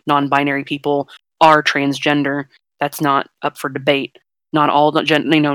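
A young non-binary podcast host with a fairly deep voice, talking frankly and at length in a casual chat. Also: fairly bright tone, dry recording. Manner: earnest, frank, explains at length.

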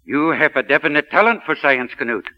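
An actor playing an earnest, encouraging priest and science professor, speaking persuasively in 1940s radio drama style. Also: male voice, on the high side, expressive, brisk, natural voice. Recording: dull 1940 radio recording.

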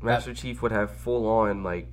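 A man's voice: fairly low pitch, steady tone, moderate pace, a little hiss or hum. A man chatting casually as a podcast guest, slangy and repeating phrases for emphasis. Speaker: podcast guest, content creator. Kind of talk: casual conversational chat.

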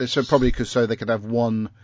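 A man's voice: medium pitch, little pitch movement, fast talker, no echo. Rambling man hosting a podcast. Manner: rambling, dry teasing asides. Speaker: podcast host. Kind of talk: casual chat. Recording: dull lo-fi sound.

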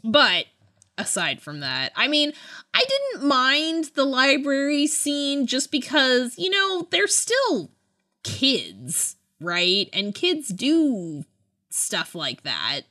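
A woman co-hosting a podcast in casual chat, animated and conversational, often hedging as she talks. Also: high-pitched, slow. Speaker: podcast co-host. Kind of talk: casual chat about books and films.

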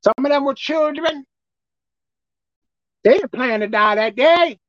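A man with a squeaky voice preaching a sermon as pastor, emphatic and forceful. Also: measured pace, no room sound, dull audio. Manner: emphatic, pitch leaping up and down.

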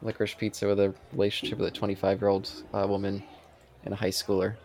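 A man's voice: lowish voice, completely flat delivery, fast, a little mellow. A man chatting casually about films as a podcast co-host, with a hesitant, rambling delivery.

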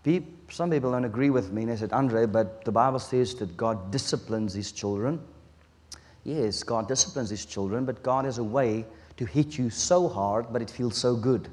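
A man from South Africa with an Afrikaans accent, whose first language is Afrikaans, preaching a sermon in an earnest, halting way with restarts. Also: medium pitch, a little mellow, in a large hall.

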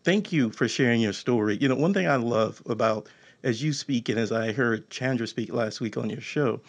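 A man hosting a casual online show over a video call, enthusiastic and quick to praise his guests, often repeating words. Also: medium pitch. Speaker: show host.

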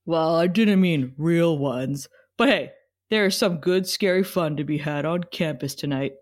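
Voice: mid-range voice, unhurried, natural voice. A performer playing an earnest, kindly young male teaching assistant in a comedic table read, mildly teasing. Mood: encouraging.

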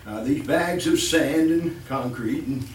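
A man's voice: a little high, slow, echoey room, distant mic, slight background noise. A man playing a fussy, murderous master of the house in a 1930s-style radio horror play, with a stammering, repetitive, chuckling delivery. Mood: chuckling, eager. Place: live stage performance in a room.

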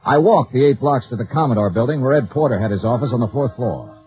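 An actor playing a brisk, wry male insurance investigator in a 1950s radio detective show, clipped and businesslike. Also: medium pitch, expressive, faint music behind, close to an everyday voice. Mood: dry, businesslike. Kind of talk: 1950s radio detective acting and first-person narration. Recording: muffled 1950s radio recording.

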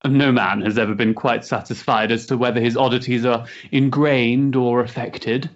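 An actor playing a restless, sensitive young Victorian gentleman in a stage play, hesitant and politely mannered. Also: mid-range voice, steady tone, moderate pace, highs cut off, lightly acted. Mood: amused, dry. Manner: hesitant, polite, mannered upper-class diction. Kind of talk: period stage-play acting.

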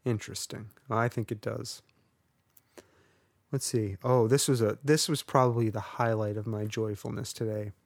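Wry low-voiced man chatting. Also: fairly even intonation, normal speed, dry recording. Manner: wry, rambling and self-deprecating. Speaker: podcast co-host. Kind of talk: casual chat.

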